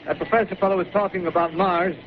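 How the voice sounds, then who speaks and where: An actor playing a drowsy, plain-spoken farmer in a 1930s radio drama, with a hesitant, folksy country drawl. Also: male voice, high voice, fairly even intonation, fast talker, close to an everyday voice. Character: drowsy rural farmer, middle-aged man, plain-spoken. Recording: tinny, hissy 1930s radio broadcast.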